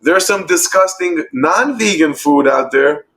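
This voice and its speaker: A man's voice: on the high side, animated, a bit bright. A man in his thirties from Israel and New York, a vegan chef on a casual podcast, talking emphatically and with plenty of swearing. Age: 30s. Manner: emphatic, profane, lists things rapidly.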